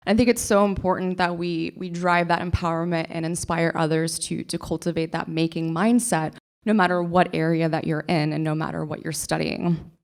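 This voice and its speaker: A young woman from Oklahoma gives a short talk on a conference stage. Her voice sits on the lower side for a woman. She speaks through a stage microphone, earnest and enthusiastic, repeating key words and restarting small phrases as she goes. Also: fairly even intonation, normal speed, vocal fry. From Oklahoma, USA. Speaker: librarian giving a conference talk.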